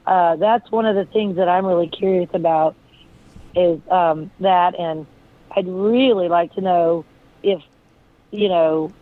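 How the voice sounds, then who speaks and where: Raspy hoarse woman on a phone line. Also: fairly low pitch, steady tone, a little slow. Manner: rambling, searching, full of fillers. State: hoarse from illness. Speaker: guest, friend of the deceased. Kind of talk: casual interview talk.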